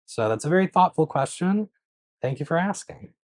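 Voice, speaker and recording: A man hosting a knitting channel, answering viewer questions in a relaxed, patient chat to camera, with many small pauses, recorded on a clip-on mic. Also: on the high side, no echo. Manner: conversational, explains patiently with frequent um and so.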